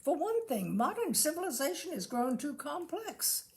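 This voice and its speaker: A woman with a fairly high voice reads comic prose aloud. Her intonation swoops expressively and often settles into a gravelly creak. The delivery is theatrical, with deliberate phrasing and pauses for effect. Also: sing-song, a little mellow. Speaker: reader of literary humour. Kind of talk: expressive reading of a comic essay.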